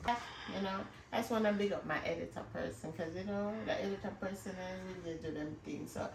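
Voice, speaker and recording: Woman, chatty cooking host, slightly low pitch, echoey room, distant mic. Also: fairly quick, gravelly, a little hiss or hum. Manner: chatty, conversational, addresses viewers directly. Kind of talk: casual cooking demonstration. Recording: music behind, roomy, off the mic. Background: music.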